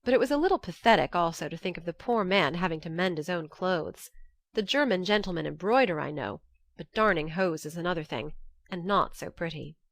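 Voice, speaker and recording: A woman's voice: on the low side, animated, brisk, gravelly, no room sound, clean studio sound. A woman narrating an audiobook, reading a classic novel's first-person letters as scripted narration.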